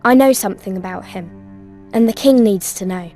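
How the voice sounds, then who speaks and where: A woman voicing a brave, quick-witted, polite young girl in a video game cutscene, speaking earnestly and courteously. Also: medium pitch, a little mellow, soft background music, natural voice. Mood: urgent, insistent.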